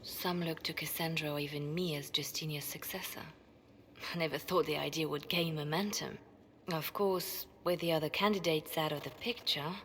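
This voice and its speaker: Ambitious, coolly persuasive female mage for a fantasy game: fairly low, breathy, long hall reverb. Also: fairly even intonation, normal speed, a bit bright, a little hiss or hum. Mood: pensive, guarded. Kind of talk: video game cutscene acting.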